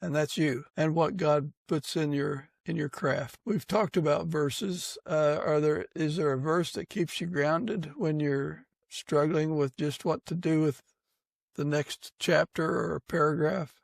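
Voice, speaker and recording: Guy podcast host, kinda high voice w lots of creak, chatty interviewing, dry sound. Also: fairly even intonation, measured pace, no echo. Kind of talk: conversational interviewing.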